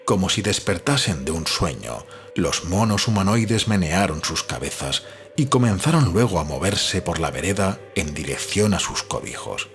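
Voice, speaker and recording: A man reads literary narration in a measured, dramatic storytelling manner. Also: low voice, lively intonation. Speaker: audiobook narrator. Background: background music.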